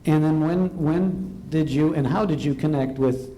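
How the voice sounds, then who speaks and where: Higher-voiced man hosting a live interview. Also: measured pace, mellow, slight background noise, rough recording. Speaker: radio show host and interviewer. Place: large hotel ballroom event before an audience.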